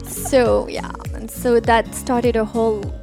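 A woman guest tells personal stories in a casual, conversational way. Her voice sits a little high. Music plays clearly behind her. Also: flat delivery, slow pace. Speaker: podcast guest. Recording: music under the voice.

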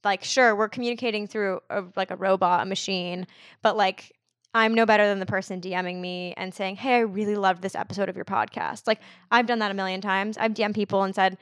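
Guest: woman in her twenties, casual and chatty, loads of "like", mid pitch, dry close mic. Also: steady tone, brisk, clear, resonant tone, no echo. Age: 20s. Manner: chatty, fills speech with "like". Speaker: podcast guest. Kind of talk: casual conversational interview.

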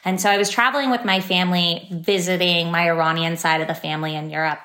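A woman's voice: lowish voice, fairly even intonation, quick pace, fairly bright tone. A woman in her thirties from San Francisco, a company founder telling her story in a podcast interview in an upbeat, chatty way. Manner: upbeat, chatty, many fillers. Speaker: startup founder and guest. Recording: echoey room.

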